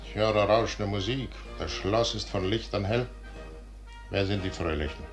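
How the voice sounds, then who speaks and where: An actor playing a proud, weary, fatalistic aging general in a grand, measured 1950s radio drama style. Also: male voice, lowish voice, music in the background, lightly acted. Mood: weary, musing. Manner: measured, grand, dismissive, declaiming. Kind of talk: 1950s German radio drama stage acting. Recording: noisy 1950s radio recording.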